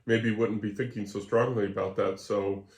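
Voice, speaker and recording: A man co-hosting a podcast in casual chat, talking in a halting, meandering way. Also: lowish voice, little pitch movement. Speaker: podcast co-host. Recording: roomy echo.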